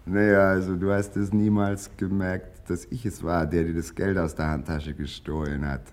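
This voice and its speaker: An actor playing a needy, petulant young man in a radio drama, husky, moving between sulky muttering and bombastic ranting. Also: sits low, flat delivery, normal speed, a little mellow, close to an everyday voice. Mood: sullen confessing. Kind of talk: theatrical radio drama acting.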